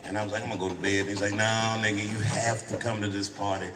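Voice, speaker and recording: A man doing a stand-up routine, telling a long story with deadpan punchlines. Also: on the low side, quick pace. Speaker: stand-up comedian. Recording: echoey stage recording with background noise.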